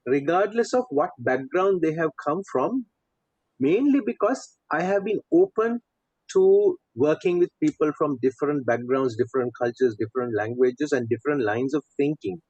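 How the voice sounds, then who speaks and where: A man's voice: fairly high pitch, expressive, normal speed, darkish tone, slightly rough, no room sound. Middle-aged Sri Lankan-accented man, dull dry audio. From Sri Lanka and Saudi Arabia. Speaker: podcast guest, coach. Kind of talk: conversational personal account in an interview.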